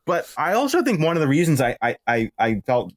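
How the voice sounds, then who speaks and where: Male podcast panelist, casual and halting, expressive pitch, dry close recording. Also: no echo. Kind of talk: casual critical chat.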